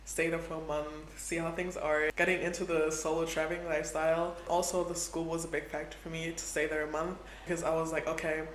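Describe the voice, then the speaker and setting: A man's voice: fairly high pitch, level tone, a little fast, hardly any pauses, a bit bright, a little hiss or hum. A man in his twenties chatting casually in a travel vlog, filling his talk with "like". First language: Portuguese is his second language. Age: 20s. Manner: chatty, heavy use of "like". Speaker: travel vlogger. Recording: echoey room with faint ambience.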